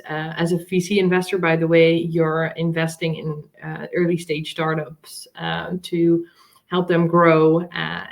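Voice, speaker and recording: Woman, middle-aged, Dutch accent, native Dutch speaker, lowish pitch, interview guest, casual storytelling. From Netherlands. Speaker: interview guest, venture capital coach and founder.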